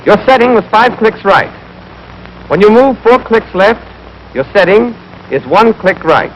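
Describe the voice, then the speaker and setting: A man's voice: sits high, expressive, measured pace, muffled recording. A young American man giving scripted, clipped, step-by-step marksmanship instruction as an army instructor in a 1940s training film. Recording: noisy 1940s film soundtrack.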